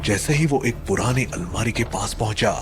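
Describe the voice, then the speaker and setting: A man's voice: on the low side, a little fast, background noise. A man narrating a scripted horror story in a dramatic, suspenseful manner.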